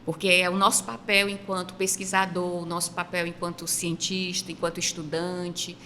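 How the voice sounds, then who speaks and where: A woman researcher answers on a panel in a bright, forceful voice. She is earnest and formal, stressing points through repetition. A faint ambient noise sits behind her. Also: fairly low pitch, flat delivery, moderate pace. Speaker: academic researcher guest. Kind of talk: panel discussion, reflective answer. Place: makeshift studio at a university campus event.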